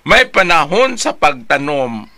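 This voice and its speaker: High-pitched, bright older Cebuano man. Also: very animated, measured pace, no room sound. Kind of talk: casual chat with storytelling and scripture quoting.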